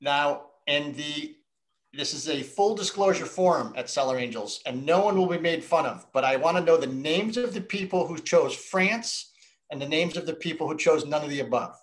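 A man's voice: on the high side, animated, moderate pace, low-quality recording. Middle-aged American man hosting a video call. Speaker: host of a virtual wine tasting. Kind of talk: casual chat. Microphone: video call microphone.

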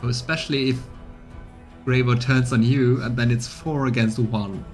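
A man's voice: medium pitch, fairly even intonation, normal speed, faint music behind. A man in his thirties doing casual, playful game commentary and narration as a streamer, musing as he goes. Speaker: streamer, let's player. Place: small indoor room on webcam.

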